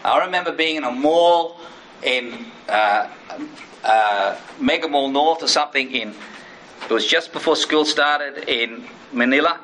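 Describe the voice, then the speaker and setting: A man's voice: mid-range voice, unhurried, a bit bright, a little hiss or hum, low-quality recording. A man teaching an informal sermon, talking conversationally with personal asides and frequent checks with his listeners. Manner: personal asides and self-disclosure.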